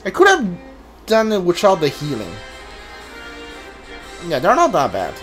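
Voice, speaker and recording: A man's voice: high voice, wildly swooping pitch, measured pace, fairly bright tone. A man doing casual game commentary, thinking aloud in short, muttered remarks as he plays. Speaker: let's play streamer. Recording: game music under voice. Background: video game music and sounds.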